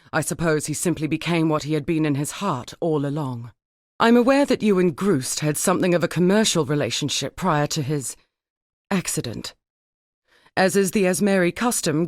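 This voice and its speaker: An actress playing a grieving, learned woman survivor in a dark fantasy video game, speaking in a measured, formal, wistful way. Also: on the low side, dry recording, studio quality, close to an everyday voice. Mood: subdued grief. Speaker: non-player character in a video game. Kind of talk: dramatic scripted game dialogue.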